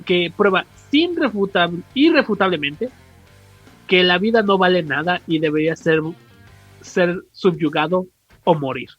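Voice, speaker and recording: A man's voice: high-pitched, lively intonation, husky, muffled recording, faint music behind. A man with a Mexican accent explaining comics lore in a casual, slangy podcast chat, often restarting and correcting himself. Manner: informal, slangy, halting with self-corrections. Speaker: podcast co-host.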